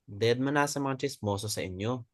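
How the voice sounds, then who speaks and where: A man's voice: mid-range voice, normal speed, dry recording, studio quality, close to an everyday voice. A man in a dramatic reading playing a loyal, frank club member who speaks matter-of-factly. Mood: supportive.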